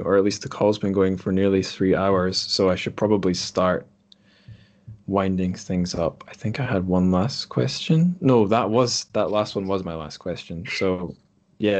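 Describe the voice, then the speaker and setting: A man hosting a podcast in a casual chat, speaking in a thoughtful, halting way. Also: on the low side, a little mellow. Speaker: podcast host. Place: remote group call.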